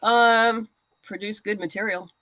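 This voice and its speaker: A woman's voice: mid-range voice, fairly even intonation, a little slow, fairly bright tone, no room sound. A woman speaking as a guest creator in a casual interview, giving practical advice in a conversational way. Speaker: guest writer and comic creator. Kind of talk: casual interview chat about crowdfunding.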